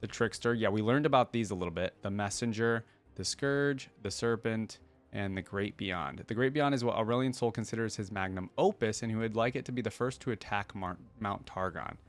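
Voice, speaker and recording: Lower-voiced man doing casual reaction commentary. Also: fairly quick. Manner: informal, thinking aloud between read passages. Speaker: reaction channel host. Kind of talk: casual reaction commentary, reading wiki text aloud.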